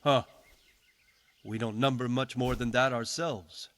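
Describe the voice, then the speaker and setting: An actor playing a shrewd medieval lord in a video game, speaking in a dry, wry, deliberate manner. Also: male voice, mid-range voice, steady tone, fairly bright tone, close to an everyday voice. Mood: brisk, inquiring. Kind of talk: dramatic video game cutscene acting.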